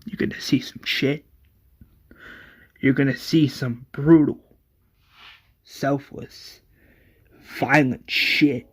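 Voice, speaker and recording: A man's voice: medium pitch, fairly even intonation, takes it slowly, long pauses. A man voicing a wounded, dying man in a story, strained, desperate and weary. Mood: weary, resigned.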